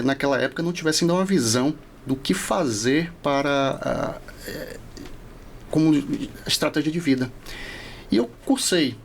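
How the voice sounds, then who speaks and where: Gravelly Northeastern Brazilian man in his forties. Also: mid-range voice, a little hiss or hum. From Salvador, Bahia, Brazil. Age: 40s. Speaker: podcast guest, law professor. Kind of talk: casual interview chat.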